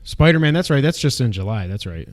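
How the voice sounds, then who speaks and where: Male co-host, mid-pitched, casual and unscripted, animated movie-fan chatter. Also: fast talker.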